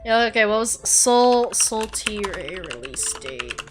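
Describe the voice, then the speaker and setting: A woman's voice: mid-range voice, sing-song, a little slow, music in the background. A young woman hosting a casual podcast, blunt and giggly, swearing freely and rambling with restarts. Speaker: podcast host and streamer. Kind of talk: casual chat.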